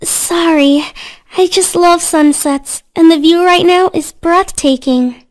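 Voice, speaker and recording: A woman's voice: very high-pitched, takes it slowly. An actress voicing a shy, sweet, polite teenage schoolgirl in an anime-style game. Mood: gentle. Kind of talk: visual novel voice acting. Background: game music.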